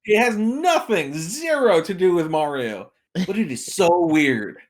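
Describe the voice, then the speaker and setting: A man's voice: high-pitched, very animated, measured pace. A man chatting casually as a podcast guest and film expert, with a wry, tongue-in-cheek manner. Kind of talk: casual chat about movies.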